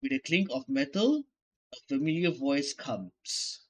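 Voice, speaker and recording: A man's voice: mid-range voice, expressive, unhurried. A man hosting a gaming channel, reading the game's narration aloud in a plain way. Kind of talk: reading game text aloud. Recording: music from the game behind.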